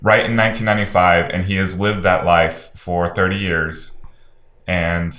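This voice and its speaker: Very low-pitched man in an echoing room. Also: little pitch movement, normal speed, fairly bright tone. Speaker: podcast panelist. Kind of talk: casual film discussion. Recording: roomy, echoing room sound.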